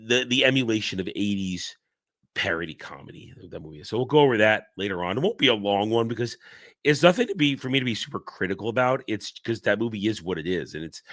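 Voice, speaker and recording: A man's voice: fairly low pitch, fairly bright tone, no echo, studio quality. A man hosting a casual livestream, sick, congested and tired, talking bluntly and rambling with restarts. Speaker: livestream host.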